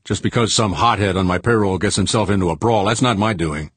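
A man's voice: fairly low pitch, brisk, a bit bright, slightly rough, no echo. Man doing an arrogant, snappish male tycoon. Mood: irritated, defensive. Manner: snappish, sneering, defensive.

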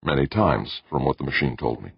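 A man voicing a steady, earnest man in an audiobook, controlled and deliberate. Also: very deep voice, gravelly, breathy edge, no room sound, dull audio, exaggerated voice. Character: steady, earnest man, convinced and holding his temper. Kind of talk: audiobook character voice.

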